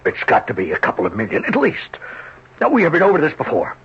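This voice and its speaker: A desperate middle-aged financier, his husky voice swinging high and low as he pleads and pushes. It comes through a muffled old broadcast. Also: huge pitch swings, quick pace, full character voice. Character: desperate older male financier, overextended and pleading. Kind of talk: 1970s radio drama acting. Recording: dull lo-fi vintage radio broadcast.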